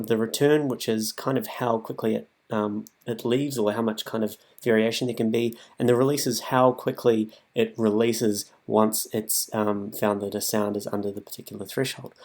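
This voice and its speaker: A man's voice: mid-range voice, darkish tone. A man giving a casual, improvised music production tutorial, thinking aloud as he works.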